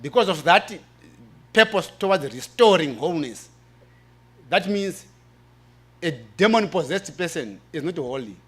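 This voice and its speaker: A man's voice: fairly high pitch, expressive, unhurried, long pauses, fairly bright tone, slightly rough. A man presenting at a religious forum, explaining in a preaching style and repeating phrases for emphasis.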